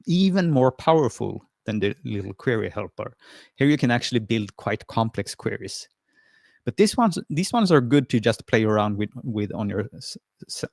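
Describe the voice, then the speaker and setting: A man's voice: medium pitch, vocal fry, dry recording, clean studio sound. A man from Sweden, presenting a friendly, hesitant screen-share tutorial over a video call, with lots of fillers and restarts. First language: Swedish. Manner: friendly and encouraging.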